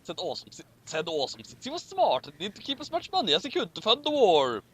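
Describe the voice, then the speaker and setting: A man's voice: high voice, huge pitch swings, fast, fairly bright tone, slight background noise. A man doing a casual, mocking read-aloud with commentary, sarcastic and tripping over his words as he reads. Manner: stumbles over words while reading. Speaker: co-host reading a story aloud with commentary.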